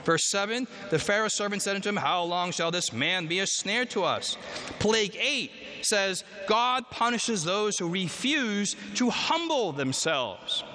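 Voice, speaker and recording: A male preacher with a high, bright, forceful voice delivers an emphatic sermon and throws questions out to the congregation. His words ring out with a long reverb in a church hall, over a faint background noise. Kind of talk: sermon with scripture reading.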